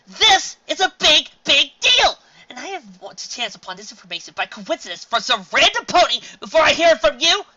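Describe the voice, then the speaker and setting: A reader voicing an excitable young female unicorn pony who shouts in drawn-out, shrill bursts. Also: dull audio. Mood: outraged.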